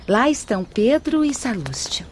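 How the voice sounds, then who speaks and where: A woman's voice: on the high side, sing-song, moderate pace, a little hiss or hum. A woman playing a helpful, devout spirit guide in a radio drama, formal and reverent. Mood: serene, welcoming.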